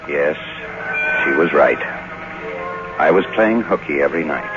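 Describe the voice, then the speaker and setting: A deep-voiced, cynical young screenwriter. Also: male voice, expressive, measured pace, music in the background. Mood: reflective, wry. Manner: wry, clipped, laconic. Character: cynical, world-weary young Hollywood screenwriter man. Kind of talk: 1950s radio drama acting and noir narration. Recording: dull old radio broadcast recording.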